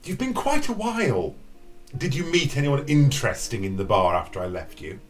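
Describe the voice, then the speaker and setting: A woman's voice: very deep voice, sing-song, normal speed, faint music behind. A woman playing an uptight, dry, easily embarrassed husband in an audio drama, speaking in a stiff, disapproving way. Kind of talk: amateur audio drama acting.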